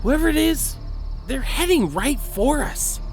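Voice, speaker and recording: A man's voice: very high voice, animated, unhurried, faint music behind. A man playing a nervous, inquisitive young companion in an audio drama, eagerly questioning and easily alarmed. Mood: frightened.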